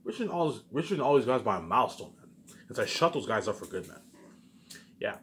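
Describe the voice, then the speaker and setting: A man's voice: mid-range voice, very animated, fast talker, a bit bright, a little hiss or hum. A man giving a rambling, casual monologue as a video maker, insistent, boastful and often repeating himself. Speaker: video maker talking to viewers.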